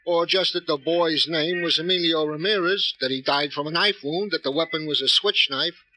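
A man's voice: fairly high pitch, moderate pace, bright, forceful tone, no echo, poor audio. An American man acting a wry, grumbling police sergeant in a 1950s radio drama. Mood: wry, matter-of-fact. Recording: old radio recording with faint noise.

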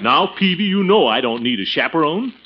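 An actor playing a pompous, bashful middle-aged American uncle with a hammy, chuckling, hesitant delivery in 1940s radio comedy style. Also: male voice, on the high side, very animated, a little fast. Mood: jovial, teasing. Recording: scratchy, muffled old radio broadcast.

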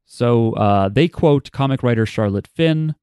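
A man's voice: medium pitch, lively intonation, unhurried, dark tone, clean tone, no room sound. Rambling man, dry close sound. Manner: rambling, thinks aloud with many fillers. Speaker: podcast co-host. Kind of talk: casual chat.

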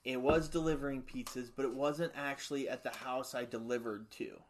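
A middle-aged man with a high-pitched voice, telling animated stories in a casual chat. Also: a little fast. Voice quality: high-pitched voice for his size. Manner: animated storyteller, acts out quotes with laughs.

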